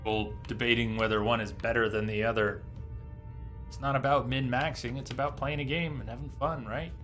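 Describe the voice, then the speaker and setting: Chatty higher-pitched man streaming a game. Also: fairly even intonation, brisk, a bit bright, faint music behind. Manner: chatty, reads menu text aloud while explaining. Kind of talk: casual live gameplay commentary.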